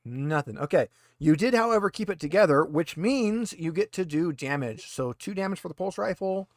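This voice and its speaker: A man with a slightly high voice runs a casual tabletop game as game master. He explains things in a joking, teasing way, and the sound is dry and close. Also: expressive, normal speed, no echo. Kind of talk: casual online tabletop roleplaying session.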